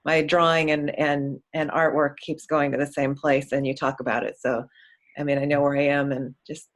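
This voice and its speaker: An audience member speaking casually, thinking aloud and halting while searching for words. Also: medium pitch, level tone. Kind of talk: casual conversation.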